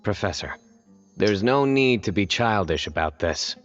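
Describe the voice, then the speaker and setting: A stern game-world investigator with a lowish pitch. He is formal, measured and deadpan. The capture is a little dull, with faint music underneath. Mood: calm firm. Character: stern, composed male law-enforcement officer. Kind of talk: video game voice acting.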